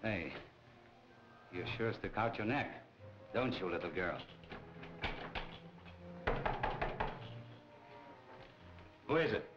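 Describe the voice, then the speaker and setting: Smooth, threatening club boss, middle-aged, animated pitch, vintage crime film, dull soundtrack with music. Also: male voice, measured pace. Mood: needling. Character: smooth, evasive, menacing male nightclub boss. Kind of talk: 1940s film acting.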